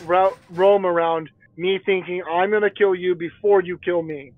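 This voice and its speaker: A man's voice: high voice, steady tone, moderate pace, dry recording, narrow, tinny audio. A man co-hosting a casual gameplay commentary, joking and bantering playfully. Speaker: gaming co-host.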